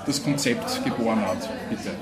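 A man's voice: fairly low pitch, normal speed. A middle-aged man, the producer, formally introducing the speakers at a press conference, with some fillers. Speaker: producer and moderator. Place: ornate café room with audience. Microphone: table microphone. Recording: room with a loud crowd.

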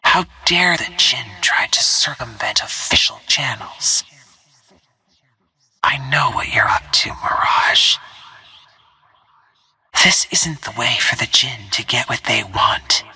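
An actress playing a cold, ruthless female assassin in a video game, speaking in a breathy, menacing, self-assured way with clipped phrases. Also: very deep voice, expressive, slow, long pauses, bright, forceful tone, heavily acted. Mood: stern, threatening. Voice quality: breathy, whispery. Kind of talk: video game character voice lines.

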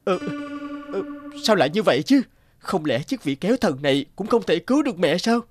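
An earnest young violinist. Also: male voice, sits high, very animated, a little fast, faint music behind. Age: young adult. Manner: earnest, polite. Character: devoted young male violinist.

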